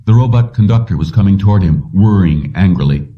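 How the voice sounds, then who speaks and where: Deep-voiced man narrating, distant and muffled. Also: lively intonation, brisk. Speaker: audiobook narrator. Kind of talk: scripted narration of a science fiction story. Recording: distant, dull low-fidelity audio.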